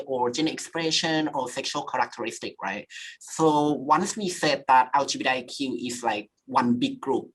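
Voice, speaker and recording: A woman's voice: rumbling low, breathy edge, low-quality recording. A woman from Thailand speaking on a webinar panel over a video call, searching for words and filling with "you know" and "like".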